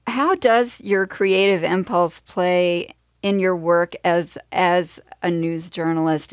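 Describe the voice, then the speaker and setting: A woman hosting a conversational radio interview, phrasing her questions in a measured, halting way. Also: on the low side, dry recording, clean studio sound. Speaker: radio show host.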